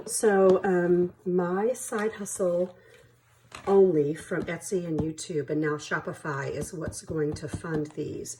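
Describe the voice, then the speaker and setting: A woman with a somewhat low voice chats casually to her viewers about saving money. She sounds stuffy and sniffly from allergies, apologizes easily, and counts bills aloud in a relaxed home vlog. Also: a little hiss or hum. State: congested with allergies, sniffling and coughing. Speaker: budgeting channel host.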